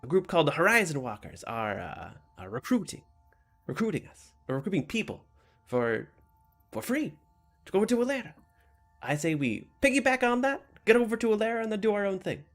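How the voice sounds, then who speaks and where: A man performs an eager, dramatic male sidekick from a tabletop game. The voice is pushed high with a creaky edge, and he pleads insistently like a breathless schemer with a grand plan. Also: big pitch swings, fairly quick, faint music behind. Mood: eager, persuading. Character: excitable male Italian-named restaurant right-hand man.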